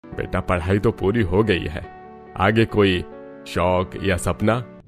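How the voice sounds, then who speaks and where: A man playing a polite, dignified middle-aged family father in a cartoon drama, speaking in a measured way. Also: low voice, fairly even intonation, faint music behind. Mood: friendly.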